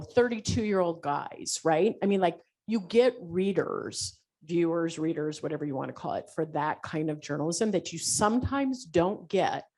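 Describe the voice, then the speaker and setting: Low-pitched woman panelist; animated, rambling, leans on fillers. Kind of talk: panel discussion.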